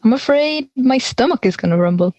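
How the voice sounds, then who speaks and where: A woman's voice: high-pitched, lively intonation, fairly quick, a little mellow, no echo, muffled recording. A young woman playing an anxious, exasperated teenage schoolgirl in conversational audio drama dialogue. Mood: anxious, sheepish. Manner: exasperated, worried. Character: anxious schoolgirl dreading a class presentation.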